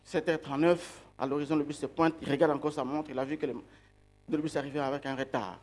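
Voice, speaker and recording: A man with a fairly high voice preaches a reflective sermon. He speaks slowly, lingers on key words and poses questions to his listeners before answering them himself. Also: steady tone, a little mellow. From outside Belgium.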